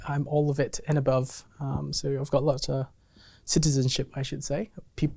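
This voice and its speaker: Male interview guest, late twenties, from Malaysia, mid-pitched, informal and self-effacing, rambles casually. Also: level tone, moderate pace, vocal fry, darkish tone, slight background noise. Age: late 20s. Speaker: interview guest, campus ministry missionary. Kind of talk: casual chat.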